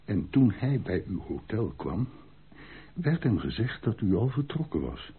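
A man's voice: fairly low pitch, sing-song, a little slow, slightly rough, heavily acted. An actor playing a frank, loyal army captain in a Dutch radio drama, deferential but emphatic as he speaks. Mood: agitated, explaining. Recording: muffled, band-limited old radio recording.

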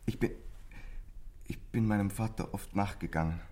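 An actor playing a troubled teenage boy in a German radio crime drama, bitter and frightened, with a husky, breathy voice and an intense, halting, confessional delivery. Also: on the low side, warm tone, close to an everyday voice. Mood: tense, hushed.

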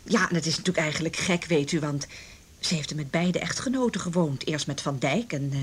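A woman's voice: low-pitched, brisk, a little hiss or hum, close to an everyday voice. An actress playing a middle-aged village mother in a radio drama, gossipy and confiding, with a hesitant, rambling way of talking. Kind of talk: naturalistic radio drama dialogue.

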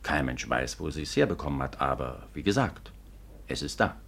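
An actor playing a courteous, businesslike police detective in a 1950s radio drama, speaking formally and matter-of-factly. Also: male voice, rumbling low, expressive, gravelly, fairly bright tone, slightly rough, full character voice. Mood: calm, courteous. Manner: measured, matter-of-fact, formal. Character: courteous, businesslike male Scotland Yard detective.

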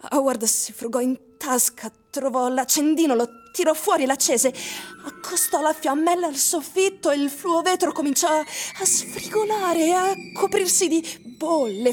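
An actress playing a solemn storyteller, narrating an eerie science-fiction radio drama with deliberate, suspenseful literary phrasing. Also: female voice, high voice.

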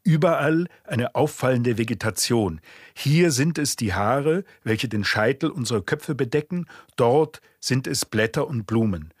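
A man in a radio play reciting an old natural-philosophy treatise in a solemn, measured, formal way. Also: medium pitch, expressive, slightly rough, dry recording, clean studio sound. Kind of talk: scripted literary reading in a radio drama.

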